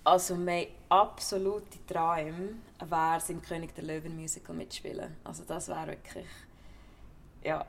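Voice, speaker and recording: Young Swiss German woman, low gravelly voice. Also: flat delivery, moderate pace, slight background noise. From Switzerland. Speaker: actress, interview guest. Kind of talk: casual interview chat.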